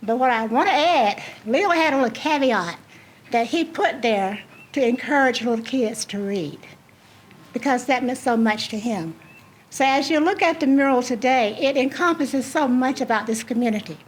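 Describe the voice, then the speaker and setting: A soft-spoken woman giving a heartfelt, storytelling speech as a program coordinator at a community event. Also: high-pitched, animated, normal speed, poor audio. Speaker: program coordinator speaking at a ribbon cutting. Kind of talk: speech to an audience.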